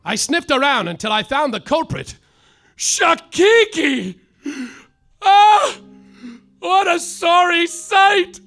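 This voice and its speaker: Guy doing a goofy noir detective, high bright gravelly voice, deadpan narration with faint music behind. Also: slow pace, heavily acted. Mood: anguished. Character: hard-boiled hapless male private detective narrator. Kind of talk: live comedy radio play.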